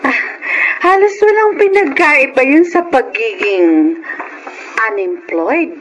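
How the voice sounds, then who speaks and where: A woman's voice: high-pitched, animated, takes it slowly, slight background noise, low-quality recording. A woman playing a haughty, sarcastic and belittling sister in a Filipino radio drama. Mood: scornful. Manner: sarcastic, cutting.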